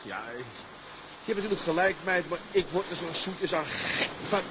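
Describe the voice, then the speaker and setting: An actor playing an earnest young sailor in a radio drama, speaking respectfully and politely. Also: male voice, sits high, animated, normal speed, background noise. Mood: desperate. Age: young adult.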